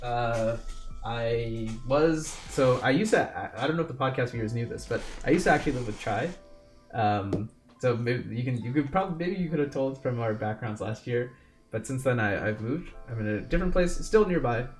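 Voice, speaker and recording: A man's voice: medium pitch, expressive, normal speed, soft background music. A young man co-hosting a casual podcast over a video call from his bedroom, rambling and joking about himself with many restarts. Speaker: podcast co-host. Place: home bedroom. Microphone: desk mic with pop filter.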